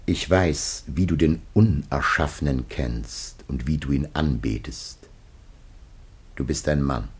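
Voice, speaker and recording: An actor playing a grave, aged biblical patriarch facing death, declaiming solemnly and haltingly in a classic radio drama. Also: male voice, rumbling low, a little mellow, husky, heavily acted. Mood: resigned, sorrowful.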